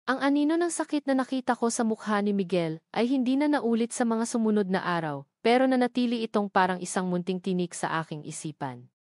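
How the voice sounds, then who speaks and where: A Filipino woman tells a romantic drama in the first person. Her voice sits at a medium pitch, and she delivers it sentimentally in measured phrases. The sound is dry, with almost no room in it. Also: darkish tone, no echo. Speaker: story narrator.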